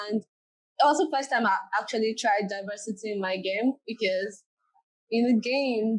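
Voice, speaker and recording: A woman's voice: mid-range voice, rough recording. A young woman, her Yoruba, chatting easily as an interview guest. She talks in loose, friendly runs, often leaning on "like" as she goes. Her voice sits at an ordinary middle height. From Nigeria. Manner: chatty, many "like" fillers. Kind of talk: casual interview talk.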